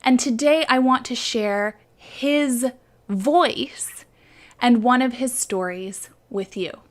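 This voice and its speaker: A woman's voice: a little high, slow. A woman presenting a children's story segment, explaining things simply and step by step as a show-and-tell.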